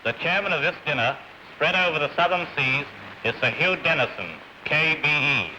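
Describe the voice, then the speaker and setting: A man's voice: a little high, normal speed, sharp tone. A man making a formal announcement in the style of 1930s radio. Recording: faintly noisy 1930s radio recording.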